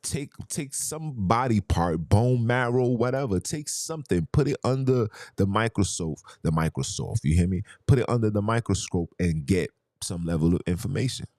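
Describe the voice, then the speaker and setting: A man's voice: lowish voice, lively intonation, normal speed, few pauses, a little mellow, dry recording, clean studio sound. A man hosting a podcast, arguing in a heated monologue full of rhetorical questions and emphatic repetition. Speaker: podcast host.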